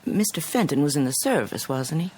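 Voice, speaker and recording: An actress playing a polite, fretful middle-aged neighbor with a prim, hesitant, insinuating manner in a radio drama. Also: female voice, low voice, lively intonation, fairly quick, a little mellow, slightly rough. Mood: uneasy, insinuating. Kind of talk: naturalistic radio drama dialogue.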